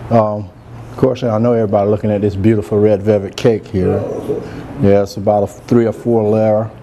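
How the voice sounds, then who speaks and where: A soft-spoken man, chatting relaxed as he hosts a cooking demonstration in a large room. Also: lowish voice, a little fast, background noise. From Georgia, US. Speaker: cooking show host, chef. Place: large room with a live audience.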